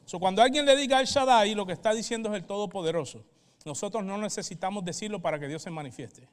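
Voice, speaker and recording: High-pitched Puerto Rican man preaching in a hall. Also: quick pace, clean tone. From Puerto Rico. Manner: didactic, checks in with short questions. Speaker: pastor. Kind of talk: sermon, Bible teaching. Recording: reverberant hall sound.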